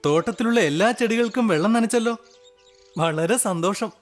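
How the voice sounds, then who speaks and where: A man's voice: sits high, normal speed, soft background music, lightly acted. A man voicing a clever, witty and confident court jester in a children's cartoon. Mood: cheerfully mocking. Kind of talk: animated children's story dialogue.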